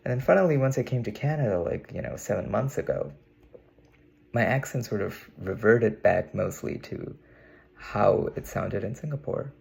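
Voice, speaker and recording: A man's voice: on the low side, animated, normal speed, gravelly, a little mellow. A young man from India, speaking with a put-on American accent in a casual, meandering vlog monologue to camera. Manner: musing, meandering, with frequent "you know". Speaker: vlogger. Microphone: camera mic, talking to camera.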